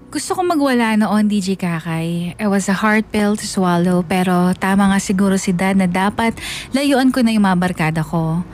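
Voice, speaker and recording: A woman with a mid-pitched voice narrates a first-person radio drama. She slips between Filipino and English over faint background music. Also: moderate pace.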